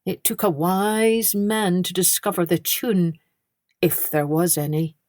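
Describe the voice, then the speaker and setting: A woman storyteller hosting for children, reading scripted tales with expressive, deliberate phrasing. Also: on the low side, no echo. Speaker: storytelling podcast host.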